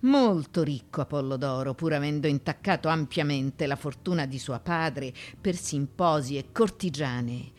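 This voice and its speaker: A woman's voice: low-pitched, normal speed, few pauses, a little mellow, slight background noise, lightly acted. An actress playing an ancient Greek mother haunted by her childhood, in a theatrical radio monologue of broken musing. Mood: bitter, accusing.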